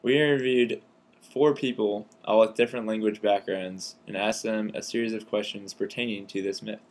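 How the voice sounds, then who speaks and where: Low-voiced man reading interview questions. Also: fairly even intonation. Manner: scripted, deliberate reading. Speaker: interviewer and narrator. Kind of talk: read narration and interview questions.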